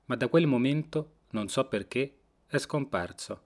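A man's voice: mid-range voice, measured pace, gravelly. A man in his late thirties from Rome, reading scripted first-person radio narration. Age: late 30s. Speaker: documentary author and narrator.

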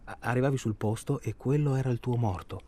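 An actor playing a self-absorbed, stalling hunter in a naturalistic radio drama, rambling and irritable. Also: male voice, medium pitch, brisk, warm tone, lightly acted. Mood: hesitant, self-justifying. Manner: hesitant, rambling, irritable, colloquial. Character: self-absorbed, stalling male tourist hunting human prey.

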